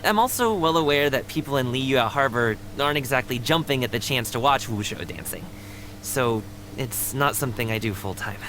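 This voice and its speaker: A woman voicing a friendly, energetic and chatty young female guard in a video game, with a casual, considerate way of talking. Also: very deep voice, normal speed. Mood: easygoing. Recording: game music underneath.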